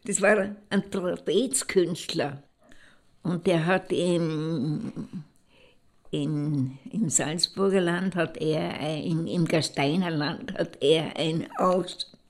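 Very slow, halting woman reminiscing. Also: sits low. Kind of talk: casual reminiscing.

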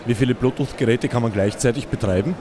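A man's voice: medium pitch, fast talker, crowd noise. A man interviewing exhibitors at a fair with brief, direct questions. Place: busy indoor fair hall.

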